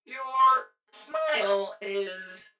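A man voicing a shy old porcelain boy automaton magician, speaking slowly, gently and playfully. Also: high voice, expressive, highs cut off, faint music behind, voice pushed up, full character voice. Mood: playful gentle.

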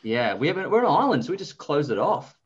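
A man's voice: fairly high pitch, very animated, quick pace, dull audio, slight background noise. A man in his thirties with an Australian accent, talking casually and anecdotally as an interview guest. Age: 30s. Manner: chatty, informal, anecdotal. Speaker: interview guest, investor and author.

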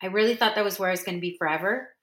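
A woman's voice: medium pitch, very fast, fairly bright tone. Woman podcast host from san diego, earnest, rambly, keeps correcting herself, echoey room. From San Diego, California. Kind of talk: casual solo monologue.

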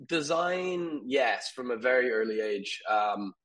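A man in his thirties, from Ireland, talking casually as an interview guest, rambling with fillers and soft laughs. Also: mid-range voice, lively intonation, takes it slowly. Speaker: guest, furniture company CEO.

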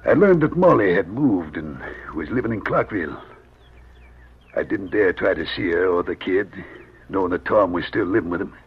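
An actor playing a weary, middle-aged American fugitive cowboy with a gruff, husky voice in a radio western. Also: male voice, deep voice, lively intonation, moderate pace, heavily acted. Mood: resigned. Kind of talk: old-time radio western acting. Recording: dull old radio recording.

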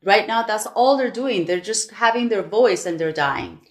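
A woman's voice: medium pitch, animated, normal speed. A woman from Iran with a Persian accent, speaking as a guest in an earnest, impassioned way with many restarts. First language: Farsi. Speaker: salon owner and guest. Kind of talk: interview chat.

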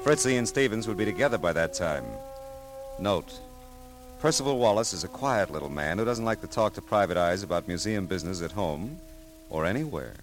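Wry private eye, lowish voice, American accent, clipped hard-boiled delivery, vintage radio drama, faint music behind. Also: male voice, expressive, a little fast. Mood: dry narration. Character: dry, persistent, wisecracking male private detective. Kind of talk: 1940s-50s radio detective acting with first-person narration.

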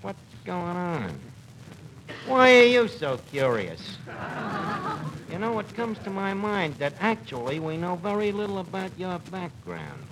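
A man's voice: high-pitched, sing-song, measured pace. A man playing a cocky, bumbling amateur detective in old radio comedy, mangling his grammar with great self-importance. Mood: suspicious, bossy. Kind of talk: 1940s radio comedy acting before an audience. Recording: noisy old radio broadcast recording.

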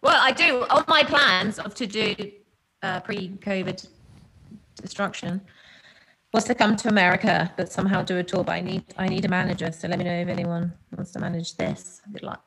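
Bright-voiced chatty woman guest. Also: mid-range voice, fairly even intonation, normal speed, vocal fry, slight background noise, poor audio. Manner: chatty, giggly, drifting off mid-thought. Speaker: podcast guest. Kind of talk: casual chat.

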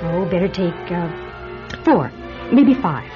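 A woman playing a seemingly caring, sly and sharp-tongued friend in a classic radio drama, brisk and coaxing. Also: fairly low pitch, animated. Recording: muffled vintage radio, music behind.

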